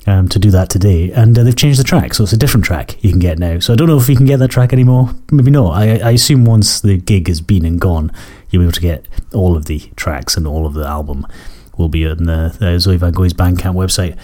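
Scottish male podcast host, deep, croaky, apologetic, rambling. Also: brisk, hardly any pauses, warm tone. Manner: rambling, self-correcting, apologetic. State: croaky from a cold and cough. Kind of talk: casual radio-style chat between tracks.

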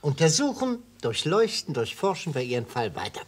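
A wily old prosecutor from a radio play. His husky voice swings widely in pitch as he probes with florid, gleeful relish, sometimes sinking toward a whisper. He is retired and savours every word like a gourmet. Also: very animated, normal speed. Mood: relishing, probing. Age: older. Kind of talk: German radio play acting.

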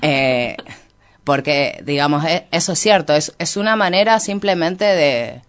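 A man with an Argentine Rioplatense accent explains his ideas in an unscripted interview, halting and reflective, often correcting himself. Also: on the high side, fairly bright tone, clean tone. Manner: halting, reflective, frequent hedging and self-correction. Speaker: interview guest, activist and researcher.

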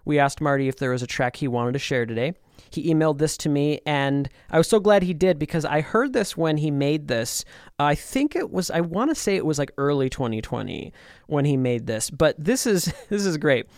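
A man's voice: on the high side, lively intonation, moderate pace, no room sound, clean studio sound. Upbeat man gushing about music. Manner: enthusiastic, laughs often. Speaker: co-host. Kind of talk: casual chat about music.

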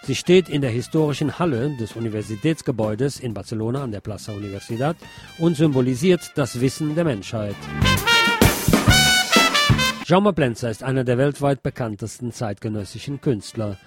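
A man presenting scripted news items in a measured, enumerating way, as a radio presenter. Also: medium pitch, music in the background.

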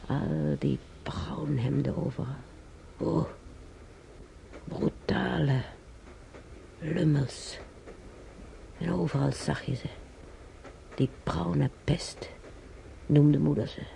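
A man playing an elderly German emigrant in a radio drama, reminiscing in a rambling, halting way with a German accent and husky, creaky voice. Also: fairly high pitch, warm tone, slight background noise, exaggerated voice. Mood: confiding, wistful. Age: older. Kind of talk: intimate radio drama monologue.

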